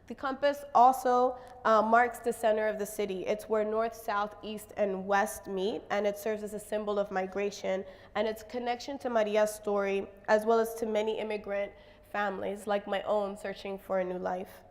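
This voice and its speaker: A woman artist presenting her work on a stage, her voice slightly raspy, talking with many pauses and fillers. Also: medium pitch, flat delivery, long reverb tail. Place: stage in a large hall.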